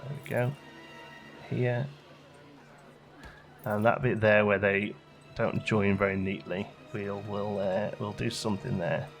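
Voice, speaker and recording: A man's voice: on the low side, fairly even intonation, slow pace, long pauses, faint music behind. A man giving casual gameplay commentary, chatting and musing aloud as he questions his own choices, as a let's play host.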